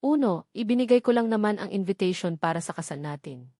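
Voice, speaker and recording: A woman's voice: mid-range voice, expressive, a little fast, warm tone, no echo, clean studio sound, natural voice. Anguished, protective young Filipino mother voiced by a woman. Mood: defensive, annoyed. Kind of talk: dramatized novel dialogue.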